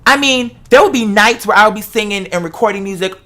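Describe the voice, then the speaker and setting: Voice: mid-range voice, quick pace, sharp tone. A host talking casually and from the heart, emphatic and given to repeating phrases for effect. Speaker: host of the show; artist who makes videos and music.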